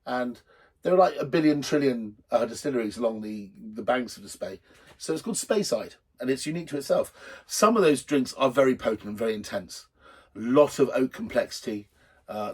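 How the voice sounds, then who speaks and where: A middle-aged man with a slightly husky voice hosting a drinks show in a rambling, jokey, blunt and sweary unscripted chat to camera. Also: mid-range voice, lively intonation, brisk, darkish tone. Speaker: host of a drinks video show.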